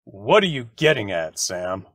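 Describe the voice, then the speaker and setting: A man's voice: lowish voice, sing-song, a little slow, fairly bright tone, no echo, studio quality, exaggerated voice. A man acting a polite, matter-of-fact police lieutenant in an old-time radio drama, with formal questioning delivery. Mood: official, probing.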